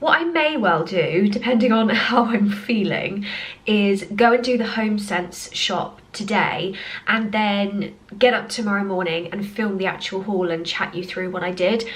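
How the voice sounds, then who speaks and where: A woman's voice: mid-range voice, a little slow, rough recording. A woman talks casually to a handheld camera at home, her voice nasal from a cold. She is chatty and upbeat, the easy tone of a home decor vlogger. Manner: chatty and upbeat, frequent little laughs. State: has a cold. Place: at home, indoors.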